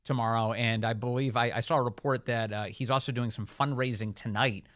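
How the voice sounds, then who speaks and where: An American man hosting a talk radio show, giving opinionated off-the-cuff news commentary in a halting delivery. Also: medium pitch, fairly even intonation, fairly bright tone, no echo, clean studio sound.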